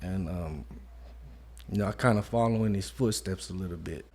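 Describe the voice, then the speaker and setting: A man in his thirties from Modesto, California, talking quietly and reflectively as an interview guest and leaning often on "you know". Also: sits low, normal speed, slightly rough. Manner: soft-spoken, reflective, many "you know" fillers. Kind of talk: casual conversation.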